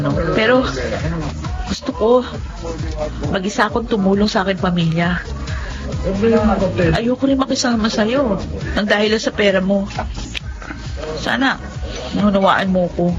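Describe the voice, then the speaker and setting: A woman's voice: mid-range voice, a little slow, pauses often, natural voice. Actress as earnest young woman, radio drama, devoted daughter, dull audio, music behind. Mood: earnest, resolute. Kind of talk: Tagalog radio drama acting.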